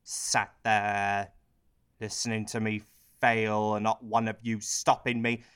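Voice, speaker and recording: A man's voice: lowish voice, little pitch movement, slow, fairly bright tone, clean tone. A man playing a cynical, self-pitying prime minister in a satirical radio play, sardonic and bitter as he confides in the audience. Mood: bitter, self-justifying.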